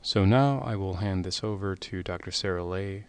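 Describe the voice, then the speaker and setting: A man narrating a medical case lecture as presenter, in measured, formal explanatory phrasing. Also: sits low, clean tone.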